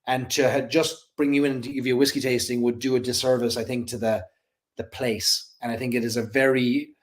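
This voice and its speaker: A man's voice: medium pitch, little pitch movement, a little fast. A man co-hosting a casual whiskey talk show, chatty and teasing. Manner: chatty and jokey, teasing guests. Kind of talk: casual chat.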